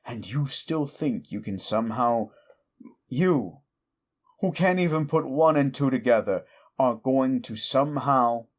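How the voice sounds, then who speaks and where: A scornful, lawful-evil demon, voiced by a man, who berates the listener with bitter contempt. His voice is a middling male pitch, and he speaks slowly and deliberately, dropping to hushed menace before rising into exasperated tirades. This is an audio roleplay.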